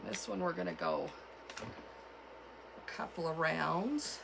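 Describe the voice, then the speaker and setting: A woman with a cold and a froggy, hoarse voice, chatting casually as she walks through a craft tutorial at home. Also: sits low, huge pitch swings, background noise. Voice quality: froggy, gravelly. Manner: chatty, unhurried, with fillers and self-corrections. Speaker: craft channel host.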